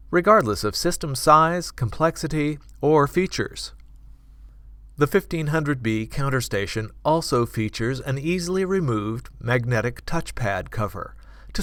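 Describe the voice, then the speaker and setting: A man reading scripted corporate product narration in a measured, clearly enunciated manner. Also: medium pitch, fairly bright tone, dry recording. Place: dry studio voice-over recording.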